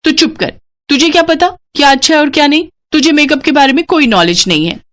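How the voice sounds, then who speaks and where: Casting: snobbish young village heiress played by a female narrator, highish voice, bragging and scornful, for a moral storybook tale. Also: fast talker, fairly bright tone. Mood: snapping, defensive. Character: boastful, snobbish young woman, village landlord's daughter.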